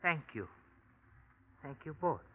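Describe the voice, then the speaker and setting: Polite, quietly determined man, fairly high lively voice, formal phrasing, muffled vintage radio drama. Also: slight background noise. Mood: courteous, probing. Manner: courteous, formal, measured wording. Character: polite, determined man seeking to clear his brother. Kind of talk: 1940s radio noir acting. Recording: muffled old radio recording.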